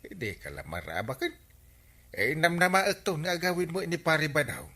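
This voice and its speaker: A man playing a taunting comic villager in an Ilocano radio comedy drama, with an Ilocano accent and a halting, stammering delivery. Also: fairly high pitch, very animated, sharp tone, full character voice.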